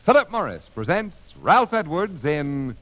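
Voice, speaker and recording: A man's voice: on the high side, very animated, unhurried, fairly bright tone. A man announcing a scripted 1940s radio show in a formal, dramatic showman's style. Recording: old 1940s radio transcription with music.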